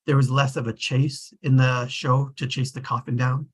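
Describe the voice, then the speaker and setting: A man's voice: mid-range voice, flat delivery, moderate pace, husky. A man hosting a casual group discussion, talking in a loose, rambling way that often trails off. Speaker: discussion host.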